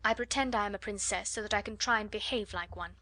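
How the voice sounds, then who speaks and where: A woman voicing a kind, composed young girl who speaks steadily and calmly. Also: medium pitch, fast talker, lightly acted. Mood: firm. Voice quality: steady, calm.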